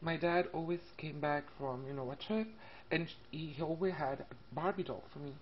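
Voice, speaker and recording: A woman of thirty from Italy with an Italian accent, telling a personal story casually to camera in a hesitant, halting way. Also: low voice. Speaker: vlogger. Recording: muffled lo-fi webcam audio, background noise. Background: classical music and faint room noise.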